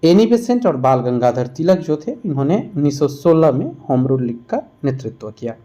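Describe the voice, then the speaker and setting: A man's voice: a little high, sing-song, normal speed, dark tone, muffled recording. A man narrating a quiz-style lesson as a teacher, explaining and repeating answers for emphasis. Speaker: exam-prep history teacher.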